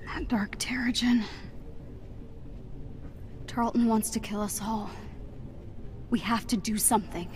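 A woman's voice: fairly high pitch, a little slow, long pauses, a little hiss or hum, lightly acted. A woman playing an eager, emotional teenage girl superhero in a video game, speaking earnestly. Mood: alarmed. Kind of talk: cinematic video game acting.

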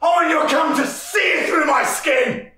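A man's voice: very high voice, a little slow, exaggerated voice. A man playing an unhinged, abusive, demanding partner in a prank call comedy, screaming crude orders. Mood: enraged, demanding. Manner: screaming, foul-mouthed, unhinged. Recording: shouting from off mic, echoey, noisy.

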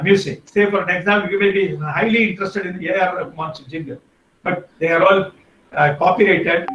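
High-voiced Indian man, echoey call. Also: a bit bright, a little hiss or hum. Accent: Indian English. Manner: hesitant, many fillers and restarts. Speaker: guest speaker on podcasting. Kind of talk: online workshop talk. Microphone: video call. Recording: echoey, far from the mic.

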